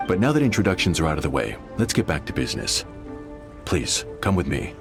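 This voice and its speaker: Low-voiced, dutiful platoon leader. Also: male voice, big pitch swings, brisk, over music. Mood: formal, respectful. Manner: formal, courteous, military. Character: dutiful, formal male soldier, a platoon leader. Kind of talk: video game voice acting.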